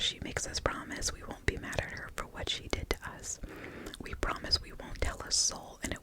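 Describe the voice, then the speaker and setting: A woman telling a personal story in a soft, steady whisper. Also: poor audio.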